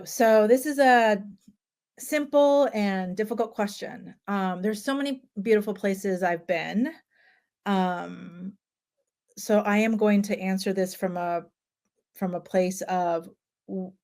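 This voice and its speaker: A woman from Japan hosting a relaxed conversational chat, talking loosely with frequent ums. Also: mid-range voice. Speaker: host.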